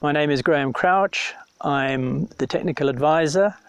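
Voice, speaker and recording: A man from Zimbabwe, a farm technical advisor, talking in a documentary interview, relaxed and pausing often with ums. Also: on the high side, smooth, no echo. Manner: relaxed, hesitant with many ums.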